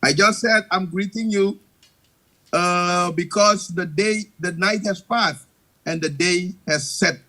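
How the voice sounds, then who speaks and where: A man's voice: sits high, slow, sharp tone. A man from Suriname whose first language is Dutch, a call-in guest speaking careful English. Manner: careful, apologetic non-native English.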